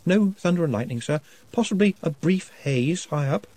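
A man's voice: a little high, animated, moderate pace, mellow, no room sound, voice pushed up. A man voicing a nervous, formal young scholar who speaks precisely and earnestly.

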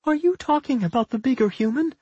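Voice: high-pitched, expressive, fairly quick, warm tone, breathy edge, no echo, highs cut off, voice pushed up. A narrator voicing a young talking pup in a fantasy audiobook, curious, guarded and wary. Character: wary young twin pups speaking human speech.

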